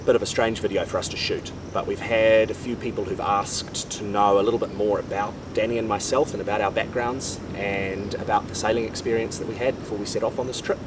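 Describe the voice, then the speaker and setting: A man, telling his sailing background in a relaxed, rambling way. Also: on the low side, far from the mic, noisy background. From New Zealand. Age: 30s. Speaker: sailing vlogger. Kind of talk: casual chat. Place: outdoors on a boat in Panama.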